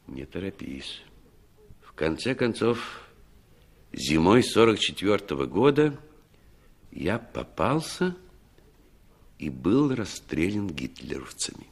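Slow, low-voiced war veteran reminiscing. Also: male voice, husky, exaggerated voice. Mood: grave, measured. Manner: unhurried, expressive storytelling with pondering pauses. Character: former Soviet war commander, quietly recalling his survival. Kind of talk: 1960s Soviet radio drama acting.

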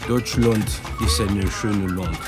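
A football striker from Senegal in a radio drama, speaking very slowly with a French-speaking West African accent. He recites learned phrases carefully and haltingly, with loud music underneath. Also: on the low side, steady tone. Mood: earnest, careful.

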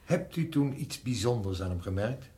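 Measured, authoritative prison director played by a man. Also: on the low side, expressive, darkish tone, lightly acted. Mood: formal, explanatory. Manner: measured, deliberate, formal. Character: sober, authoritative middle-aged male prison director. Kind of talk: radio play acting.

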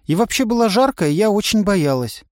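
A man voicing a timid but stubborn young woman who talks in a squeaky, plaintive way. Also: very animated, brisk, no room sound, studio quality. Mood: defensive. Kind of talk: audiobook character voice.

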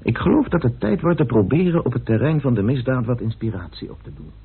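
A man's voice: mid-range voice, big pitch swings, quick pace. An actor playing a determined, authoritative committee chairman in an old radio play. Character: committee chairman, a determined, brisk British parliamentarian. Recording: scratchy lo-fi old radio recording.

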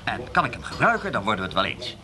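A man's voice: fairly low pitch, big pitch swings, very fast, crowd noise. An actor playing a pompous, fussy film director in a comic radio play, hesitating and sprinkling his talk with French phrases. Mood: hesitant bargaining.

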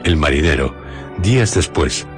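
A very deep-voiced man narrates a radio drama in Castilian Spanish accent. His phrasing is measured and deliberate, with music clearly playing behind him. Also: animated.

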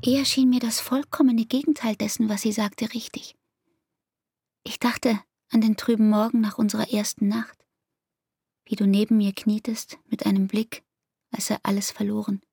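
An actress playing an anguished, devoted young barmaid in an intimate radio play, with speech and a breathy, half-whispered, intense delivery. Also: female voice, medium pitch, little pitch movement, normal speed, mellow, no echo, studio quality. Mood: tender, anguished.

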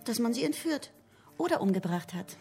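A woman's voice: fairly high pitch, very animated, moderate pace, creaky, mellow, faint music behind. A woman playing a cheeky, plain-spoken detective agency secretary in a radio crime drama, pert and questioning. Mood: challenging.

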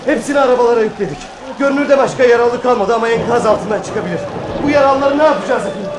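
High-pitched, flustered railway subordinate. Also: male voice, very fast. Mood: flustered, deferential. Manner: hesitant, deferential. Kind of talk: Turkish radio drama acting. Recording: echoey old radio drama, crowd behind.

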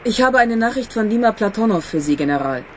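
A woman's voice: on the high side, lively intonation, noisy background, natural voice. An actress playing a guarded woman who formally delivers a cryptic warning in a radio crime drama. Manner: formal, deliberate message delivery.

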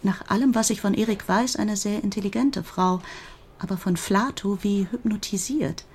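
A woman playing a grieving, protective older sister who gives an earnest, confiding statement in a German radio crime drama, with a husky voice. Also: mid-range voice, normal speed, a little mellow, slight background noise, natural voice. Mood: earnest, recounting. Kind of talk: German radio play acting, recounting testimony.

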